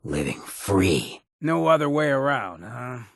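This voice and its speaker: An actor playing a swaggering, irreverent outlaw drifter in a video game, with a raspy, gravelly voice and a slangy, sardonic drawl. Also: male voice, mid-range voice, big pitch swings, slow, dry recording, studio quality, exaggerated voice. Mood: weary, resolute, then playful, sardonic. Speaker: video game companion character. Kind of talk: scripted game dialogue, wry banter.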